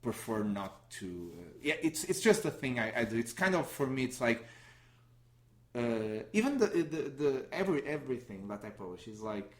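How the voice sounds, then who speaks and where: A man from Portugal with Portuguese as his first language, a magician guest speaking in a halting conversational interview with many restarts and fillers. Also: medium pitch, sing-song. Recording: roomy echo.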